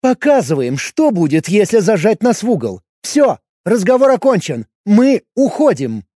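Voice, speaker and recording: A man's voice: high-pitched, very animated, normal speed, mellow, no echo, studio quality, pitched above the performer's own voice. Leisav, a man voicing a sarcastic young woman. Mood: sarcastic bold. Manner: raised, pert, mocking tone. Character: sharp-tongued young woman, bold, sarcastic. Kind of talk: audiobook character voice.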